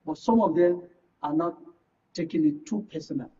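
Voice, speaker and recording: High-pitched, husky Nigerian man. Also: moderate pace, dark tone. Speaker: guest political commentator. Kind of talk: live current affairs discussion. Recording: muffled, distant microphone.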